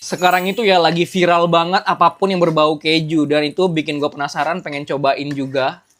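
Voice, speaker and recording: A woman's voice: sits low, fairly even intonation, brisk. A woman with an Indonesian accent chatting in casual slang as a food vlogger eating on a mukbang.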